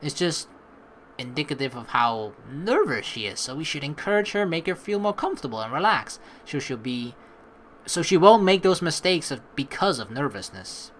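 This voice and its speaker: A man's voice: fairly high pitch, normal speed, a little hiss or hum. A young man giving chatty let's play commentary, reading game text aloud and reacting to it. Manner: animated, chatty, reacting as he reads.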